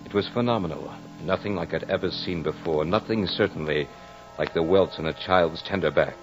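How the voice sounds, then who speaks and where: An actor playing a brooding aristocratic courtier in an old radio drama, telling his tale in a measured, florid, dramatic way. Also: sits low, faint music behind. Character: brooding aristocratic French courtier recounting a fatal duel. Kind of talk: 1940s radio drama monologue. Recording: muffled old radio recording.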